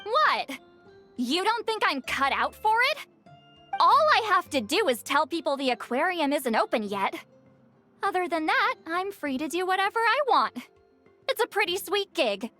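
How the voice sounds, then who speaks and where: A prickly, self-conscious game character in a high, very animated voice. She is huffy and dry, easily embarrassed, with music underneath. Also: moderate pace, full character voice. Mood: nonchalant.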